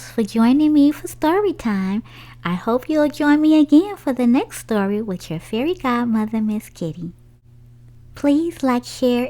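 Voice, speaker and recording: A woman's voice: a little high, mellow. A woman reading aloud as a children's story-time host, with a sing-song manner aimed at small children. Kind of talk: animated read-aloud storytelling for young children.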